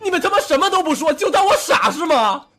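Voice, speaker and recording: A man's voice: very high voice, lively intonation, fast, a bit bright. A man acting a foul-mouthed, hot-tempered younger brother in an audio drama, confrontational and emotionally raw. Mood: furious, hurt. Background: background music.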